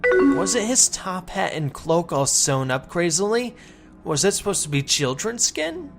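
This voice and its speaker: Uneasy man played by a male reader, slightly high voice, dramatic horror reading of forum memories, music bed. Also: sing-song, unhurried, a bit bright, exaggerated voice. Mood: nostalgic dread. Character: uneasy man recalling a creepy childhood puppet show.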